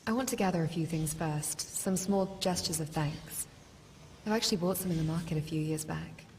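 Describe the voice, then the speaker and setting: A woman playing an earnest, remorseful yet resolute adventurer in a fantasy game, speaking in a solemn, measured way. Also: low voice, a little mellow. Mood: hesitant, remorseful. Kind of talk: dramatic video game character voice acting. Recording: long hall reverb, faint background noise.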